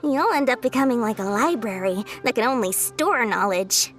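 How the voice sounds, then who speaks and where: A woman's voice: fairly high pitch, animated, normal speed, soft background music, lightly acted. An actress voicing a shrewd, cheeky young woman merchant in a video game, with glib, theatrical sales patter. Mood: explaining, knowing.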